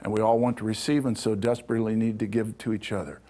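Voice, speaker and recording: A man's voice: lowish voice, level tone, quick pace, mellow. A man giving an informal public lecture to an audience in a hall, talking conversationally and checking in with "okay?" as he goes. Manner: conversational, adds checks like "okay?" to his points. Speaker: lecturer on ancient Egyptian spirituality.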